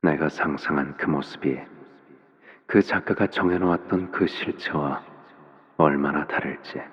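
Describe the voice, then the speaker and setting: An actor playing a soft-spoken, controlling father in a video game, speaking in a gentle, deliberate, coaxing way with quiet menace. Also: very deep voice, breathy edge, highs cut off, full character voice. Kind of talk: scripted game voice acting.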